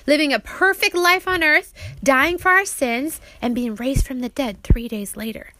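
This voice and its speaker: A woman's voice: high voice, normal speed, bright, forceful tone, a little hiss or hum. A woman reading her own children's religious book aloud as its author, in a teacherly way, often stopping to put questions to her children.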